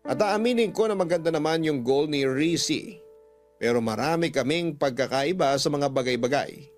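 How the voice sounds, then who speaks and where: Guy reading a heartfelt letter radio-drama style, voice a bit high, music behind. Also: normal speed. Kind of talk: first-person scripted narration of a sentimental love story.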